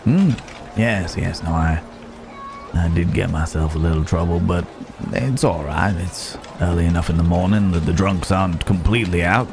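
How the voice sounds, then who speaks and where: A man's voice: sits low, gravelly, over music. A man playing a roguish, genteel Wild West stranger in an ASMR roleplay, wry and musing, speaking straight to the listener. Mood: easygoing, amiable. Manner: unhurried, wry, musing, with drawn-out pauses.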